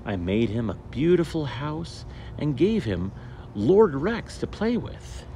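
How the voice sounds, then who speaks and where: A man reading a picture book aloud to children in an animated, theatrical way with deliberate phrasing, as a storyteller. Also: medium pitch, rough recording. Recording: steady background noise.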